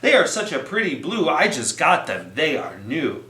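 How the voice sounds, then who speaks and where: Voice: mid-range voice, very animated, bright, forceful tone, pitched below the performer's own voice. A storytime reader voicing an earnest, fretful duck who speaks in singsong rhyme. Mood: pleading. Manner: slow, singsong rhyming speech. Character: earnest, fretful duck searching for lost socks. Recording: roomy, echoing sound.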